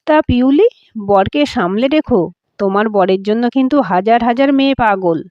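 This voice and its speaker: A woman voicing a groom's chatty young male friend in an audio story, talking in an animated, teasing way. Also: a little high, normal speed, no echo, highs cut off, natural voice.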